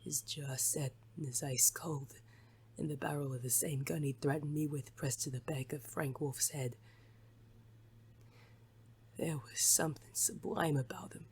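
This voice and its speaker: An actor playing a gruff, defiant, world-weary detective in a noir audio drama, blunt and sardonic, with a husky rasp. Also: mid-range voice, fairly even intonation, measured pace, long pauses. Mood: brooding narration.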